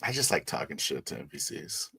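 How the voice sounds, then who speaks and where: Man, deep voice, husky edge, swinging intonation, casual slangy chat, profane. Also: big pitch swings, a little fast, sharp tone, no echo. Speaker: tabletop roleplay player in post-game discussion.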